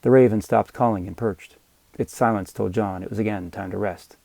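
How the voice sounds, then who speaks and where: A man narrating scripted fiction as an audiobook reader, with measured, dramatic storytelling. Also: on the low side, steady tone, gravelly, mellow, no echo.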